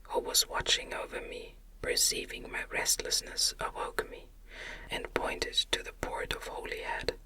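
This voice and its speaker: A woman reading a gothic novel aloud as ASMR in a gentle, soothing, breathy whisper. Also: unhurried. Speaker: audiobook reader.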